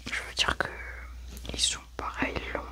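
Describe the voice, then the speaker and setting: Whispering woman, ASMR roleplay. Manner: soft, hushed, unhurried narration of her own actions. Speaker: ASMR roleplayer acting as a medical examiner.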